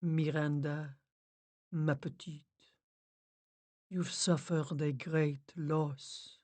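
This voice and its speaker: A woman doing a soft-spoken, sympathetic medium. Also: steady tone, unhurried, natural voice. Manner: low, soft, full of sympathy. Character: sympathetic female spirit medium, low and soft.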